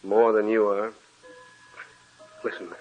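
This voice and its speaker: An actor playing a haunted, sleepless man losing his mind, speaking with feverish, halting repetition in old-time radio horror style. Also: fairly low pitch, flat delivery, soft background music. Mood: grim obsession. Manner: intense, halting, repeats words feverishly. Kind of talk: 1940s radio horror acting. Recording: muffled old radio recording.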